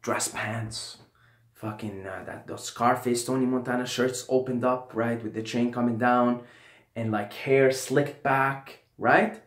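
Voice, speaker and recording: A man's voice: mid-range voice, steady tone, unhurried, echoey room. A young man from Syria telling a casual personal story, rambling and often adding "right?" and "you know". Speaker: vlogger.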